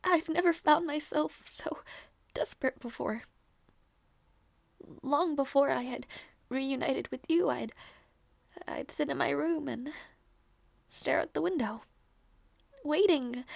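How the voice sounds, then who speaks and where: A woman playing a grieving, devoted young princess in a dramatic audio roleplay, halting and emotional, with a breathy, whispery delivery. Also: sits high, dry recording, full character voice. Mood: wistful, longing. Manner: halting, repeats phrases, emotional.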